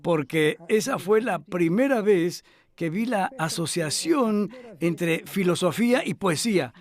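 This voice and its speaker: An older man with a notably high voice answers interview questions at a measured, thoughtful pace. He pauses often with hesitant fillers as he recalls things. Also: animated. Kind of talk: reflective interview talk.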